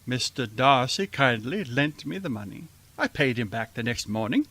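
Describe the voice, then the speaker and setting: A man playing a wry, affectionate middle-aged gentleman father in a theatrical radio drama style. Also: mid-range voice, animated, moderate pace. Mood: sheepish, fond. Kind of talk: old-time radio drama acting.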